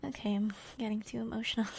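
Soft-whispering young woman, high and slow. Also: steady tone, slight background noise. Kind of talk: intimate whispered audio roleplay.

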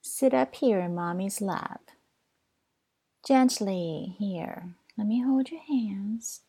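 Slow, husky, whispery woman. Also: mid-range voice. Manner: soft, soothing, often whispered; tender, doting. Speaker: audio roleplay performer. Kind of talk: intimate audio roleplay.

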